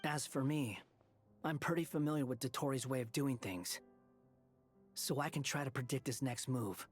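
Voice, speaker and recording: An actor playing a calm, analytical, reserved man in a video game, with a breathy, understated delivery. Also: mid-range voice, normal speed, faint music behind, natural voice. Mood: calm, resolved.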